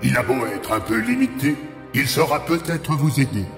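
A man voices an omniscient spirit from an audio drama, speaking with grave, measured authority. The words ring out in long cavernous reverb over soft music. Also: mid-range voice, huge pitch swings. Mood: solemn. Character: solemn, all-knowing cosmic spirit giving cryptic counsel. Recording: long echoing hall reverb.

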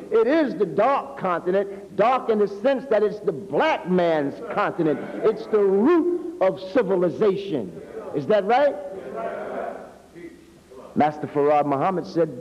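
A middle-aged American man delivering an emphatic sermon, drawing out key words and putting rhetorical questions to his listeners. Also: high-pitched. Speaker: minister preaching at a mosque. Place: indoor hall, speaking at a lectern. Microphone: two podium microphones. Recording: reverberant hall, dull lo-fi old video sound.

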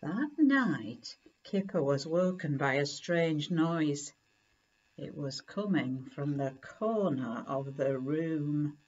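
A middle-aged woman reading a children's picture book aloud in a deliberate, expressive way at home. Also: sits low, husky, highs cut off. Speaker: story reader. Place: living room at home, indoors.

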